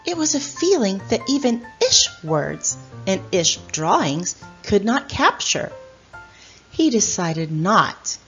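A middle-aged woman reading a children's picture book aloud as host, in a deliberate, expressive storyteller manner. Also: mid-range voice, lo-fi sound, faint music behind.

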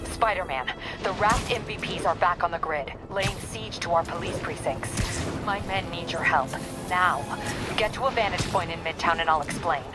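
Actress as a low-voiced, no-nonsense police captain. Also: female voice, normal speed, lightly acted. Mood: urgent. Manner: terse, dry, businesslike. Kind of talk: video game voice acting. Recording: loud music over the voice. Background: loud game music.

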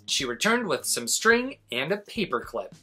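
A man in his forties reading a children's story aloud as an expressive narrator. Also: fairly high pitch, moderate pace, breathy edge, soft background music. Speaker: storybook reader, host.

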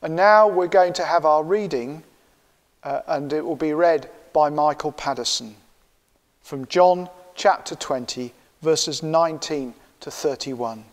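An older man leading church prayers and a confession in a measured, solemn recitation. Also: on the high side. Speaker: minister leading the service. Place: church sanctuary, indoors. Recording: long church reverb.

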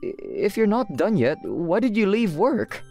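Man acting as long-suffering young male coworker, anime dub, stammering, lively pitch swings, music underneath. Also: measured pace, vocal fry, exaggerated voice. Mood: flustered. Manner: hesitant, stammering, sighing. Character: long-suffering twenties office worker man, kind, easily flustered.

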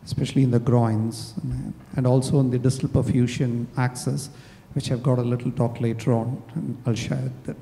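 A man's voice: mid-range voice, little pitch movement, normal speed, vocal fry, mellow. A man in his thirties, a surgeon on a conference panel, thoughtful with hesitant starts. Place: large conference hall, seated on stage. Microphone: handheld microphone. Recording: reverberant hall with faint noise.

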